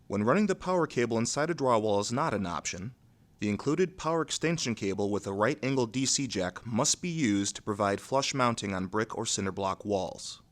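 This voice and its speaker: A man reads scripted instructional narration in a measured, neutral, methodical way, going step by step. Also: mid-range voice.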